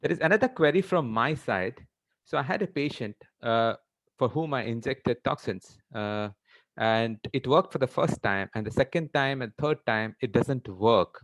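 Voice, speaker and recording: An Indian-accented male doctor speaks as a panelist on a video call. He is informal and hesitant, feeling his way through each point in short, broken phrases at a medium pitch. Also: lively intonation, moderate pace, low-quality recording. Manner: hesitant, stop-start phrasing. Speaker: webinar panelist, practising doctor. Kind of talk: informal question on a video webinar.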